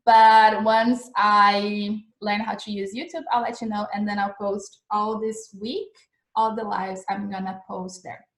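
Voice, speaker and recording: A woman's voice: medium pitch, level tone, unhurried, reverberant. A young woman with a Brazilian accent and a mixed accent from years abroad, giving a chatty, encouraging English lesson, checking in often with her listeners. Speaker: English teacher. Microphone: video call recording.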